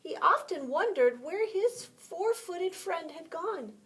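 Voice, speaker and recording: A woman preschool teacher reading a story aloud to young children, pausing to explain words simply and ask questions. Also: very high-pitched, lively intonation, a bit bright.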